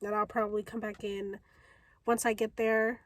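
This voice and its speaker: A woman's voice: medium pitch, little pitch movement, normal speed. A young woman chatting casually and enthusiastically to camera in a reading vlog. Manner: gushing, enthusiastic. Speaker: book vlogger. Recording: clear ambient background noise.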